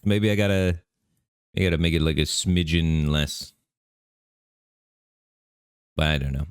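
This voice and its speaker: A man streaming a game live, talking in a relaxed, rambling way with lots of fillers, his voice bassy on a studio microphone at his home setup. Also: a little slow, clear, resonant tone, dry recording. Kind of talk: casual live-stream commentary. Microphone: studio microphone through an audio interface.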